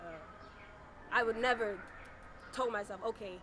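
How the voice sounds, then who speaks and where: A soft-voiced young woman in her twenties chats casually in an interview, leaning on laid-back slang and filler tags. She is recorded outdoors by a parked car. Clear street noise hums behind her. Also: fairly high pitch, normal speed. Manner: soft-spoken, laid-back slang, frequent "you know what I'm saying". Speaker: rapper.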